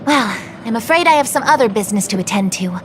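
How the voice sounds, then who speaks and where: A woman's voice: a little high, lively intonation, fast talker, background noise, close to an everyday voice. A woman voicing a courteous, businesslike official in a video game, polite and matter-of-fact.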